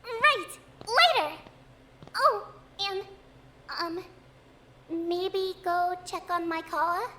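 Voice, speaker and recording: A woman's voice: extremely high pitch, drawn-out words, long pauses, bright, forceful tone, a little hiss or hum, full character voice. An actress voicing an earnest, insistent young girl who speaks emphatically.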